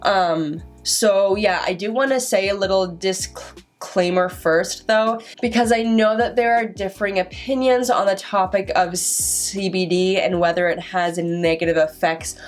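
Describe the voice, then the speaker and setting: A woman's voice: mid-range voice, fairly even intonation, a little slow, soft background music. A young woman in her twenties vlogging to camera, talking openly and stuttering with repeated sounds and restarted words. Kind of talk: casual vlog talk to camera.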